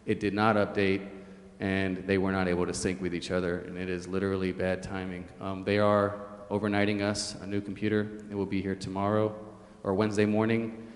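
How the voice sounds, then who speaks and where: A man's voice: deep voice, level tone, brisk, hardly any pauses. A man giving an informal briefing as a city official at a public meeting. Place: large indoor council chamber. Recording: very echoey hall sound.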